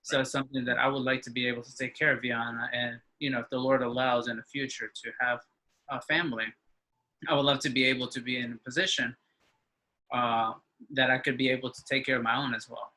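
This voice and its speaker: A man in his twenties, an interview guest, talking with halting speech and frequent restarts. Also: mid-range voice, little pitch movement, bright, forceful tone, poor audio. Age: 20s. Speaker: interview guest, engineering student. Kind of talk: casual conversation.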